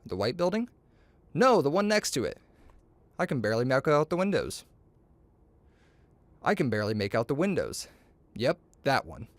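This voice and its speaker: A man reading a children's story aloud, acting out the dialogue in an expressive, playful, boastful way. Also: medium pitch, normal speed, pauses often, no room sound.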